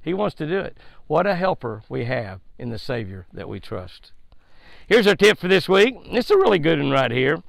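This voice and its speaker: A man's voice: a little high, big pitch swings, normal speed, gravelly, dry recording. An older man hosts a daily devotional with a fishing tip, preaching in a folksy, emphatic way and repeating his points, recorded outdoors by a lake on a headset mic. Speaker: fishing show host.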